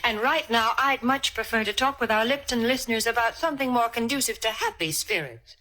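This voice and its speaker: A woman giving a chatty, emphatic 1940s radio advertising pitch on a vintage recording. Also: a little fast, sharp tone, rough recording. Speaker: commercial announcer and co-host.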